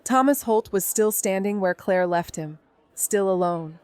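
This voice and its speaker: An actress playing a wry, watchful young amateur sleuth in a noir audio drama, dry and understated. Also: female voice, medium pitch, unhurried, darkish tone, clean tone, lightly acted. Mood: wry, brooding. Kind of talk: noir audio drama dialogue and first-person narration.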